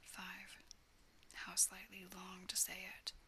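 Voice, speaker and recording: A woman reads a classic tale entirely in an unvoiced whisper. Her whisper has a bright, tense edge. Her pitch barely moves, which keeps the telling low-key and level. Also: monotone, slow pace, slight background noise. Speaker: storyteller. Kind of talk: whispered storybook reading.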